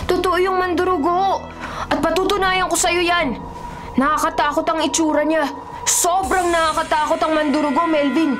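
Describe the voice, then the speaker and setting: A woman's voice: very high voice, fairly even intonation, normal speed, loud music behind the voice. A woman playing a frightened, insistent young boy in a radio horror drama, earnest and dramatic. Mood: insistent, eerie.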